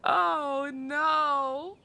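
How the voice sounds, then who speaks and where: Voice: high voice, steady tone, drawn-out words, creaky, bright, forceful tone. A game streamer chatting casually and wryly, joking and swearing freely. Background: game music.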